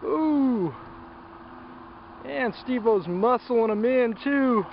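A man's voice: very high-pitched, extremely slow, gravelly. A man talking casually by a lake while filming, cheering and laughing as fish come in. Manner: excited, laughing, cheering on the catch. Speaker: fishing vlogger filming. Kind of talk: casual outdoor commentary. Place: lakeside outdoors. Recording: muffled, loud outdoor noise.

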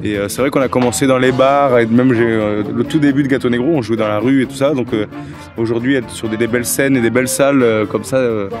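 Thirties man, relaxed halting musician interviewed outside. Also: mid-range voice, music in the background. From Toulouse, France. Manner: relaxed, many hesitations. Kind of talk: casual interview. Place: outdoors against an old wall. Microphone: handheld mic held by interviewer.

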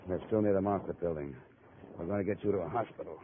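A man's voice: sits low, steady tone, fast, noisy background, natural voice. An American man acting a tough, world-weary investigator who narrates his case tersely in a 1950s radio drama. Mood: gentle, somber. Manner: terse, hard-boiled. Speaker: lead actor and narrator. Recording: muffled old radio recording, music behind.

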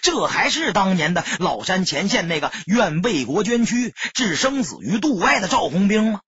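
A man's voice: high voice, sing-song, moderate pace, a bit bright, husky, no room sound, dull audio. A man narrating an audiobook as scripted dramatic storytelling, solemn and emotive.

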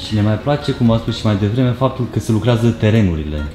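Low-voiced male vlogger in a roomy space. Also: lively intonation. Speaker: travel vlogger co-host. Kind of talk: casual interview chat.

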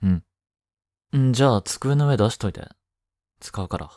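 A young man playing a blunt, teasing but caring studious high-school boy in an intimate audio drama, speaking casually. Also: animated, moderate pace, dry recording, studio quality, natural voice. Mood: casual, curt. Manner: casual, curt, softly teasing. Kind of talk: intimate Japanese boyfriend-roleplay audio drama.

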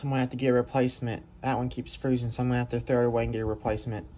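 A young man talking casually off camera while filming with his phone in a home workshop, rambling, excitable and often apologetic. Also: medium pitch, rapid-fire, slight background noise. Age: young adult. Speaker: vlogger. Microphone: phone camera held by the filming speaker.

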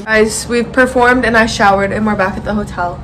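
A woman's voice: medium pitch, fairly even intonation, a little fast. A young American woman in her late teens, chatting casually and playfully to her vlog. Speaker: vlogger. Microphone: phone at arm's length. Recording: noisy handheld vlog audio, crowd chatter behind.

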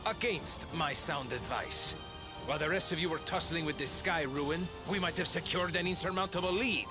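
An actor playing an aloof, scornful rival in a video game, speaking in a dry, condescending way. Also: male voice, a little high, normal speed, natural voice. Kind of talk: video game cutscene acting. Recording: music behind the voice.

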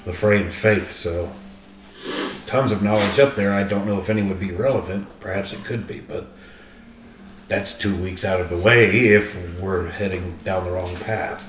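A man playing a tabletop role-playing game, talking casually and deliberately, with some hesitation. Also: deep voice. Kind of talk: casual in-character game discussion. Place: living room group session. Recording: roomy, distant mic, faint noise.

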